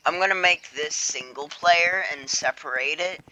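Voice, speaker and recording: A man's voice: fairly high pitch, normal speed, sharp tone, a little hiss or hum, poor audio. A young man casually walking through his own game on a screen recording, rambling with fillers and self-corrections. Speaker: game creator showing his game. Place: home room.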